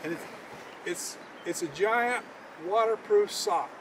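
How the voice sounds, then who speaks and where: High-voiced older man, folksy how-to. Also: lively intonation. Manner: folksy, halting, repeats words. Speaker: fishing guide. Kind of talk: casual how-to demonstration. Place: outdoors at a roadside in the country, by a car. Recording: clear outdoor background noise.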